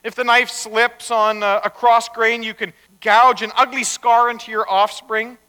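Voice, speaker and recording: A man's voice: extremely high pitch, level tone, unhurried, bright, forceful tone, clear, resonant tone, full character voice. A man voicing a blunt, fatherly God with an authoritative, sardonic manner in a told story. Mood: wry, commanding. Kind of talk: live storytelling. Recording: echoey hall, long reverb.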